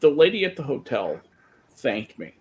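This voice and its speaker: A man from Belgium chatting casually on a podcast, telling animated stories with stumbles and restarts. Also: mid-range voice, normal speed, slight background noise, rough recording. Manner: animated storyteller who stumbles on words. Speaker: podcast co-host. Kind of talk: casual chat.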